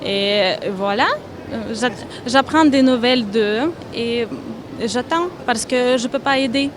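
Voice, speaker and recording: A woman's voice: a little high, slow pace, noisy background. A woman from Ukraine with a Ukrainian accent, answering earnestly and searching for words in French. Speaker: interviewee. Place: outdoor fair stand.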